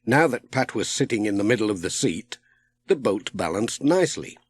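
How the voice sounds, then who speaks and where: A man narrating a children's audiobook in a measured, expressive storytelling style. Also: lowish voice, husky.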